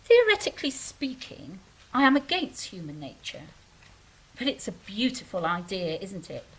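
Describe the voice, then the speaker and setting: A woman performs a wistful, idealistic angel in a stage-style drama. Her husky voice rises and falls widely. She speaks in a measured, philosophical manner. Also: medium pitch, huge pitch swings, poor audio. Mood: musing. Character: idealistic, earnest female angel, wistful and stately.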